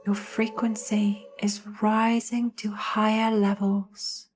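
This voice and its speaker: A woman narrating a guided meditation in a soothing, hushed manner, leaving long pauses between short phrases. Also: mid-range voice, level tone, soft background music.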